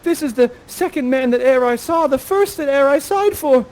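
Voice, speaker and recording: A man's voice: extremely high pitch, lively intonation, moderate pace, a little mellow, pitched above the performer's own voice. Man playing a gushing, lovestruck young daughter. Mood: yearning. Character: lovestruck, breathless young daughter, mortified by her father. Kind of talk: comic Shakespeare storytelling with modern slang.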